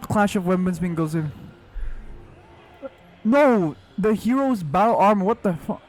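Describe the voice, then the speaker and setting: A man doing casual live gameplay commentary, exclamatory and quick to swear. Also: high-pitched, expressive, a little mellow. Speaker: game streamer.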